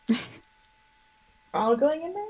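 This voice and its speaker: A woman's voice: fairly high pitch, big pitch swings, drawn-out words, dark tone, slightly rough. A woman chatting casually as a player in a tabletop game. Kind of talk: casual chat during a game.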